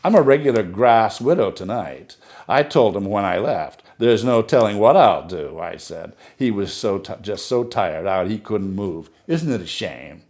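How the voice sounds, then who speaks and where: A man's voice: fairly low pitch, expressive, normal speed, a little mellow, lightly acted. A man reading a short story aloud, voicing a chatty, gushing society woman who talks in a breathless stream and keeps praising herself.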